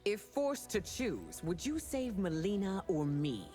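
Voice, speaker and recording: An actress playing a regal, stern warrior empress and mother in a video game, formal and measured. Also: mid-range voice, very animated. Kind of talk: video game character dialogue. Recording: loud music behind the dialogue. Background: music.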